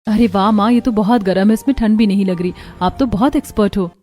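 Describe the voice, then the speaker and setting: A woman's voice: medium pitch, brisk, darkish tone, close to an everyday voice. A woman playing an earnest young village daughter, innocent and pleading, in a moral story. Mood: delighted.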